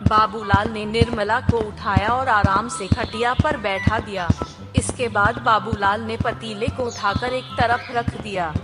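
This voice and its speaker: Female narrator for scripted children's stories. Fairly high voice, background music bed. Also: steady tone, measured pace.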